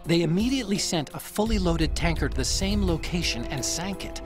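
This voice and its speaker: Game cutscene scientist: slightly high voice, troubled, earnest, careful explainer, light music behind. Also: male voice, animated, fairly quick. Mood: explanatory. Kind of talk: video game cutscene acting.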